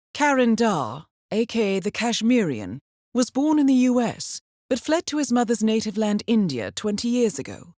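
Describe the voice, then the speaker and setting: An actress playing a cool, composed intelligence handler in a video game, briefing an assassin in a measured, dryly ironic way. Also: female voice, medium pitch, a little mellow, dry recording, clean studio sound, natural voice. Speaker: mission handler.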